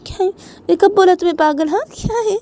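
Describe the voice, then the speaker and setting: A woman's voice: very high voice, fast, a little mellow, a little hiss or hum, voice pushed up. Woman acting exasperated, repetitive dinosaur father. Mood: irritated, taunting. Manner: blustering, repeats himself insistently.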